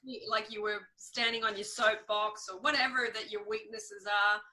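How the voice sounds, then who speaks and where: A woman hosting a casual interview, chatting in an animated, encouraging and agreeable way. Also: medium pitch, moderate pace, low-quality recording. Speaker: interviewer, host.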